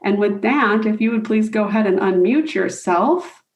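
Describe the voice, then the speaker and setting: A woman's voice: medium pitch, lively intonation, moderate pace. A woman introducing a new member on a virtual club meeting, gracious and formal. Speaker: meeting presenter. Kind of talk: introducing a speaker on a video call. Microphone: video call.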